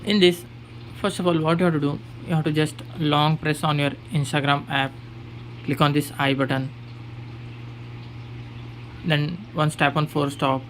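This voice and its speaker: A man presents a phone how-to tutorial in voice-over. His voice sits a little higher than usual for a man. He gives simple step-by-step directions to his viewers, and background noise hums under the recording. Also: flat delivery, measured pace, pauses often, a bit bright. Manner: plain step-by-step instruction, addressing viewers as guys. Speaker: tech tutorial host. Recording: clearly noisy background hum.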